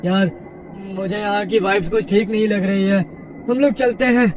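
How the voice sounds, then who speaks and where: An actor playing a timid, uneasy young male college student in an animated horror story, with a nervous, pleading way of talking. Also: sits high, moderate pace, recorded from a distance, a little hiss or hum, lightly acted.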